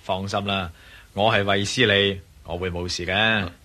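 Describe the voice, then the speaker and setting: An actor playing a confident, determined adventurer hero in a Cantonese radio drama, breezy and assured, with a Hong Kong Cantonese accent. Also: male voice, on the low side, fairly even intonation, a little slow, bright, forceful tone, faint music behind.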